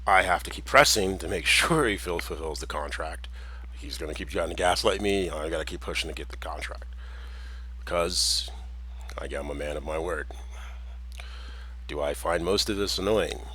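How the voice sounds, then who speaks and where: A man with a deep, husky voice and an American accent touched with Jamaican. He rambles through a personal monologue, restarting sentences and chuckling as he goes. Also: normal speed, pushed and bright. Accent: American with a slight Jamaican tinge. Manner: meandering, with many restarts and chuckles.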